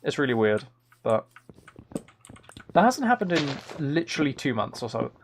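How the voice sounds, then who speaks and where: Man, mid-range pitch, casual game streamer chat, dry joking asides, background noise with key clicks. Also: big pitch swings, normal speed. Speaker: live streamer. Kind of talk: casual live gaming stream chat with viewers. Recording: clear noise, keyboard and mouse clicks.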